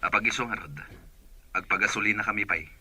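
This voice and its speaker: An actor playing an earnest, courteous boss in an Ilocano radio drama, with a husky voice and an Ilocano accent. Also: male voice, fairly low pitch, quick pace, sharp tone, full character voice.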